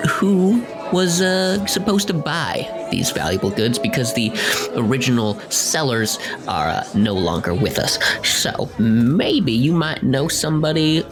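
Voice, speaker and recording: Man as hesitant, wheedling rogue over music. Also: medium pitch. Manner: hesitant, rambling, stammering. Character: evasive, wheedling male rogue named Hix. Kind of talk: tabletop roleplay acting. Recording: music in the background.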